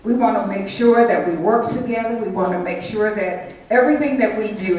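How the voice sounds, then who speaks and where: A woman's voice: mid-range voice, darkish tone. A woman council member running for mayor, giving a formal, repetitive campaign speech. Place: civic center event room. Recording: echoey hall, far mic, faint noise.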